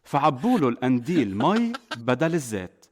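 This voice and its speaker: Lebanese man telling stories with animated pitch. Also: brisk, heavy background noise. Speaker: narrator, a father telling a saint's life to children. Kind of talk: scripted children's story narration.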